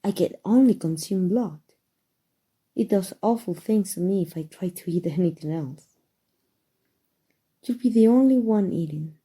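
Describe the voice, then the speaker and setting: A very mellow, dark-toned vampire lady, played by a woman with a Mexican accent. She is centuries old, elegant and gently possessive. She speaks slowly and tenderly in a breathy ASMR roleplay style. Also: mid-range voice. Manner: soft, tender, formal and unhurried endearments.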